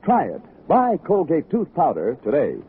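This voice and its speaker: A man's voice: a little high, wildly swooping pitch, normal speed, loud music behind the voice. A man with an American accent reading a 1940s radio advertisement as an emphatic hard sell. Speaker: commercial announcer. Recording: tinny, muffled old radio recording.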